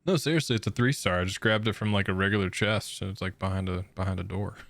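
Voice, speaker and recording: A man's voice: sits low, fast talker, fairly bright tone, no echo. A man doing casual, slangy gameplay commentary as a game streamer. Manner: slangy, informal.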